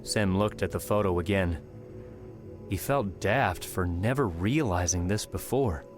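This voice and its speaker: Low-voiced man narrating over music. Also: a little slow. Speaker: audiobook narrator. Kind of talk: scripted fiction narration.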